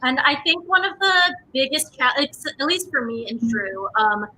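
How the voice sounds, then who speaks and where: A woman's voice: sits high, animated, normal speed, pushed and bright, poor audio. A woman actor talking casually as an interview guest. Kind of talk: casual chat, telling anecdotes.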